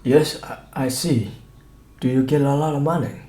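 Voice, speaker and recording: A man's voice: mid-range voice, steady tone, moderate pace, mellow, echoey room, pitched above the performer's own voice, close to an everyday voice. A man voicing a polite, reserved London doctor in an audiobook, courteous and a little hesitant. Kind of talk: audiobook character dialogue.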